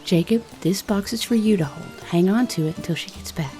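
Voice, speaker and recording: Performer as a calm female spellcaster over music. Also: medium pitch, a little fast, close to an everyday voice. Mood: calm, directing. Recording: music behind the voice.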